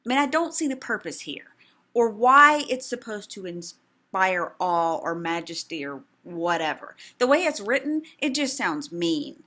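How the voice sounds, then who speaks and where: A woman's voice: mid-range voice, sing-song, a little slow, sharp tone, exaggerated voice. A woman voicing a skeptical, irreverent woman who delivers dry, mocking commentary. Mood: sarcastic.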